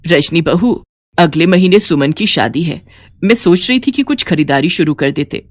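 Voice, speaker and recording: A woman voicing a gentle older mother-in-law in a cartoon story, affectionate and fretful about her family. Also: on the low side, animated, moderate pace, dry recording, clean studio sound. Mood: concerned.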